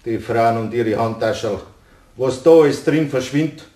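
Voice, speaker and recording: An actor playing a grumpy, impatient car mechanic and husband with a Bavarian accent in a radio play. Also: male voice, mid-range voice, a little slow, dark tone, lightly acted. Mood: exasperated. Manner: gruff, grumbling, impatient. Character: grumpy, exasperated married male car mechanic. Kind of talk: German radio play dialogue. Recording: roomy radio-play studio sound.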